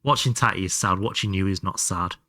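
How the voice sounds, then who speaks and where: A man's voice: deep voice, a little fast, pushed and bright, no room sound, clean studio sound. A man doing casual live game commentary and chatting to viewers, sweary and self-deprecating. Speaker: live streamer playing a video game.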